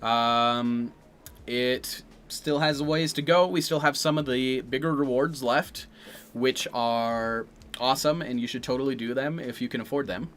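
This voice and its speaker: A man's voice: mid-range voice, a little slow, a bit bright. A man co-hosting a casual livestream Q&A, laid-back and dry in his remarks. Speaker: co-host of a livestream Q&A.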